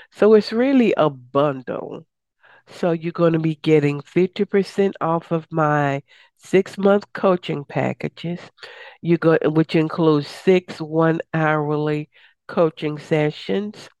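Slow-talking raspy woman radio host. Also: a little mellow, no echo. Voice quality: raspy, deeper than usual. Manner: chatty, upbeat, promotional, with many uh fillers. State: hoarse from days of talking. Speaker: radio show host and success coach. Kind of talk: casual radio talk.

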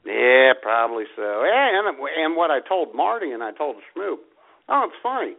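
A man's voice: a little high, very animated, moderate pace, gravelly. A man co-hosting a podcast, making wry, self-mocking jokes in casual banter. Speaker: podcast co-host. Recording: tinny phone-like sound.

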